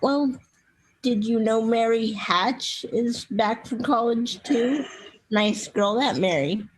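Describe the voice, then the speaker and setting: A woman playing a gently meddling middle-aged mother in a radio play, coaxing her grown son in a husky voice. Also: fairly high pitch, slow pace, poor audio. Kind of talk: old-fashioned radio play acting.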